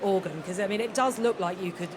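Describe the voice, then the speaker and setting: A woman's voice: medium pitch, quick pace. A woman presenting a live radio interview from a large concert hall, chatty and enthusiastic. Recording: background noise of a busy venue.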